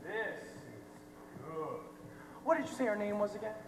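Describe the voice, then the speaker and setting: A man's voice: high-pitched, animated, moderate pace, slight background noise. A young American man playing a cocky, sarcastic newspaper reporter on stage, projecting punchy, sardonic lines. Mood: mocking, sardonic. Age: young adult. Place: theater stage, distant camera. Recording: distant echoey hall, music behind.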